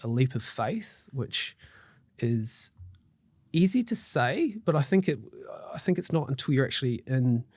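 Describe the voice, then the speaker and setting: A man's voice: mid-range voice, expressive, unhurried, a little mellow, no echo, clean studio sound. A middle-aged man with a New Zealand accent, a guest chatting casually on a podcast, upbeat and loose with fillers and restarts, his throat slightly tickly. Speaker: guest mortgage broker.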